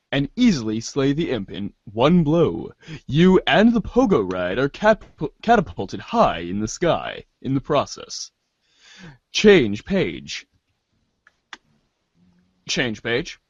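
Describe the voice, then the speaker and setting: A man's voice: mid-range voice, dry recording. A man narrating as host of a reader's theater, theatrical in the story and casual in asides, on a slightly dull recording.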